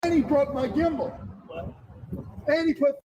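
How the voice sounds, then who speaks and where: Very high-voiced angry man. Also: a little slow, noisy background. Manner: angry, demanding. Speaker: man filming a confrontation.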